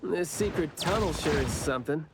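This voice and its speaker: A man's voice: fairly high pitch, expressive, moderate pace, noisy background. An actor voicing a curious, easygoing and wry young male adventurer in an anime video game. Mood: alert.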